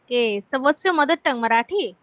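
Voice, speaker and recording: An Indian-accented woman teaches spoken English through casual conversation. Her voice is high and the sound is dry, with little room on it. She asks simple questions in an encouraging way. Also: fairly bright tone, dry recording.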